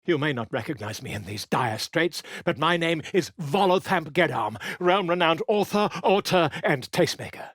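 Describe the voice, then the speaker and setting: Pompous, theatrical bard, wildly animated. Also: male voice, fairly high pitch, normal speed, few pauses, no room sound, clean studio sound. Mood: grandiose. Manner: pompous, florid, effusive diction. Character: flamboyant, self-important male bard and author, theatrical and excitable. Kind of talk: fantasy video game voice acting.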